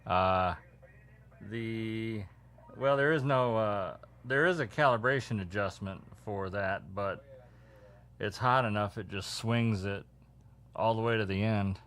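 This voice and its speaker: A man with a very deep voice talks in an easygoing, conversational way. He sounds like a hobbyist explaining gear during a casual radio chat. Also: slow pace, fairly bright tone.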